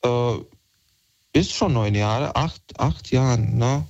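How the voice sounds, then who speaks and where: A caller in his twenties speaks over slightly dull, band-limited audio. He is a man from Bosnia with a Bosnian accent, chatting casually at a medium pitch. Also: slow pace, vocal fry, a little mellow. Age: 20s. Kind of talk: casual chat.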